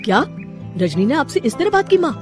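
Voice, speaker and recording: An earnest, affectionate young husband from a cartoon moral tale, as a woman narrator plays him. The delivery swings in pitch and pleads openly, with music underneath. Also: very animated, a little fast. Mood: upset, questioning. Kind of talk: Hindi cartoon moral story acting.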